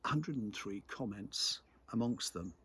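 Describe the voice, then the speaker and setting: Husky low-voiced English man, musing. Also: expressive, slow, a bit bright. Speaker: local commentator and host. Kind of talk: reflective spoken monologue.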